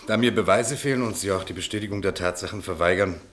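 A man's voice: sits low, fast, fairly bright tone. A man playing an earnest, insistent defence lawyer in a radio play, speaking formally and arguing articulately. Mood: insistent, reasoning. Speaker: actor in a radio drama. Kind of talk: German radio play dialogue.

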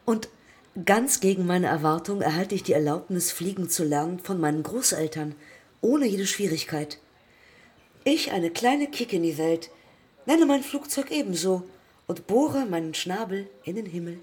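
A woman's voice: lowish voice, lively intonation, moderate pace, darkish tone, breathy edge. An actress playing an eager, headstrong young woman pilot of the 1920s in a radio play, telling her story reflectively in clipped fragments. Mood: wry. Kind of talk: radio play monologue and dialogue.

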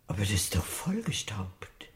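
Fussy old housekeeper, swooping pitch. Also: female voice, very animated, moderate pace, whispered. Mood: flustered, fussing. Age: older. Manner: fussing, servile. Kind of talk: German radio drama acting.

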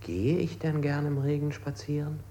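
A man's voice: a little high, fairly even intonation, normal speed, lightly acted. An actor playing a stubborn, evasive man under interrogation who formally echoes questions back, in a stylised 1960s German radio play. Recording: noisy old radio play tape with clicks.

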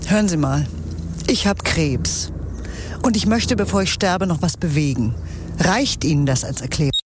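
A woman's voice: fairly low pitch, expressive, normal speed, lightly acted. A woman playing a reluctant, blunt financier in a radio drama, answering tersely and guardedly. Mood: guarded, curt. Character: reluctant, blunt female financier who is ill. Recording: clear outdoor noise with vehicles.

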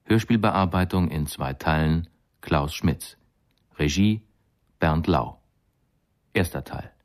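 A man's voice: rumbling low, slow pace. A man announcing the title and credits of a radio play in a formal, scripted style.

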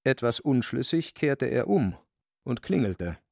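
A man's voice: mid-range voice, sing-song, measured pace, creaky, a little mellow, no echo, studio quality. A man delivering scripted literary narration for a radio drama.

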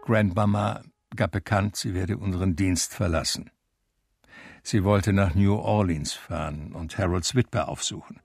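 An actor playing a reflective man who tells his life story in measured literary radio drama narration. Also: low-pitched, fairly even intonation, a little mellow, breathy edge, no room sound, heavily acted. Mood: sober, reflective. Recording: music under the voice. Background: music.

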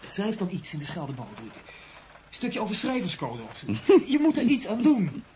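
Young male literary admirer, loyal and insistent, lightish high pitch, radio drama, clear background noise. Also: huge pitch swings, normal speed, darkish tone. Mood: indignant. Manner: earnest, emphatic, insistent. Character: indignant, admiring young male aspiring writer, excitable loyal friend. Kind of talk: Dutch radio drama acting.

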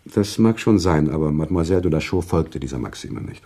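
An actor playing an earnest, sentimental author who tells a story in a radio play, with deliberate, expressive literary delivery. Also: male voice, rumbling low, husky, full character voice. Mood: reflective. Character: male author narrator recounting a sad love story, earnest and sentimental. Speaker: narrator.

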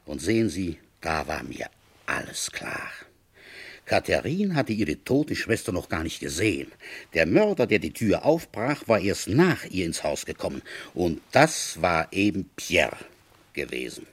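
A man playing a grumbling police inspector in a 1960s German radio play, telling an old case in a husky, conversational way. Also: low-pitched, sing-song, a little slow, full character voice. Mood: wry, reflective.

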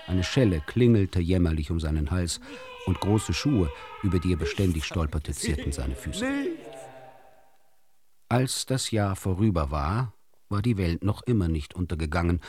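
Low-voiced man, dryly narrating absurd tales. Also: a little mellow. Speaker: narrator of short absurd stories. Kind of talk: scripted literary narration for radio drama.

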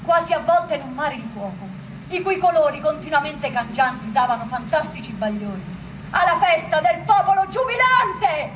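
A woman playing a wry, joyful eyewitness who recounts a city celebration in expressive theatrical storytelling. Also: sits high, normal speed, recorded from a distance. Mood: awed. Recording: echoey room with clear ambient noise.

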